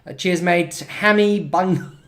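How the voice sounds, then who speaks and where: A man from New with a slightly higher voice, hosting a live stream in easy, rambling chat. He often laughs and reads out viewers' names one after another, casual and friendly. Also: slow, a bit bright, a little hiss or hum. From New Zealand. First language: not English. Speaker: stream host.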